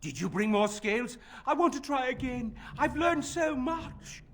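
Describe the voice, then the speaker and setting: A man's voice: very high voice, animated, a little slow, fairly bright tone, a little hiss or hum, exaggerated voice. A man playing a fussy perfectionist master armorer in a video game, dramatic, self-important and given to exclaiming. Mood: excited, proud.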